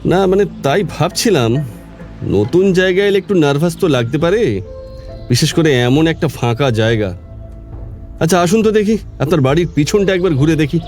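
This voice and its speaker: A local police officer in an audio drama, his voice on the high side and very lively. He is courteous yet probing, often repeating small phrases as he sniffs out something suspicious. Faint music sits behind him. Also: normal speed. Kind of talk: Bengali audio drama acting.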